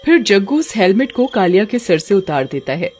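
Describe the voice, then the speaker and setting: A woman's voice: mid-range voice, sing-song, moderate pace, a little mellow. A woman narrator telling a scripted children's cartoon story.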